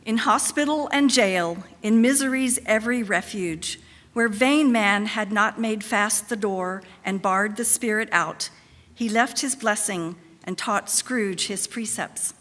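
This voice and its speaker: Female narrator for staged reading, bright projected tone, reverberant hall. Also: mid-range voice, unhurried. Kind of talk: scripted dramatic narration of a story.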